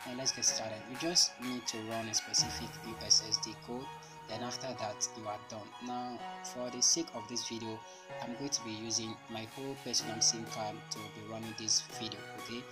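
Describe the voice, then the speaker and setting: A man's voice: on the low side, level tone, brisk. A man hosting an instructional tech tutorial as a voice-over, explaining things step by step. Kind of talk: instructional screen-recorded tutorial narration. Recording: loud music bed under voice-over. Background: loud background music.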